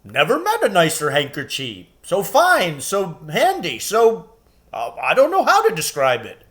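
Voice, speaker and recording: A polite storybook giant, played by a man, bumbling and good-natured. He stammers and hesitates, pitched high and swinging widely in tone, loud but always deferential. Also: huge pitch swings, a little slow, exaggerated voice. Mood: apologetic, grateful. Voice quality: puffing, breathy effort.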